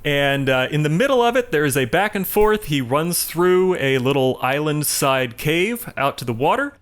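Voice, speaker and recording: A man co-hosting a podcast, telling a story casually in a halting, self-correcting way. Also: a little high, expressive, smooth. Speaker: podcast co-host. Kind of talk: casual film recap discussion.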